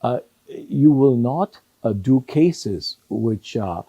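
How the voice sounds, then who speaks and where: An older man whose native language is Sindhi, answering interview questions in a measured way with many pauses and restarts. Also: mid-range voice, expressive, mellow, slightly rough, dry recording, highs cut off. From Hong Kong. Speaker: retired judge, interview guest.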